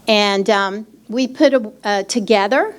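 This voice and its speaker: A woman's voice: mid-range voice, a little slow. Chatty woman giving a public workshop talk. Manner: chatty, many fillers, plain explaining. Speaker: legal aid presenter. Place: expo hall. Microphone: handheld microphone.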